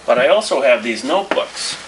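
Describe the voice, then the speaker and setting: A man's voice: medium pitch, lively intonation, a little fast, noisy background. An older man in his sixties explaining things casually as a shop owner, in short matter-of-fact statements. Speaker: gallery shop owner, interviewee. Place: small gallery shop indoors. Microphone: handheld camera a few feet away.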